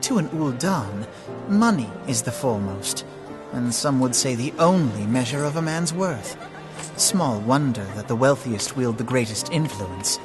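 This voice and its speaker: An actor playing a composed, erudite young male political advisor in a video game, speaking formal, measured English. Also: medium pitch, animated, music in the background, lightly acted. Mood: grave, explanatory. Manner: articulate, formal, explanatory, measured. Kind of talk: scripted video game cutscene dialogue.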